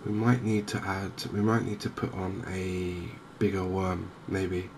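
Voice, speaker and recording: Low, creaky, soft-spoken English man. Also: level tone, a little slow, noisy background. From England. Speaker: gaming video host. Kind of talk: soft-spoken ASMR game commentary.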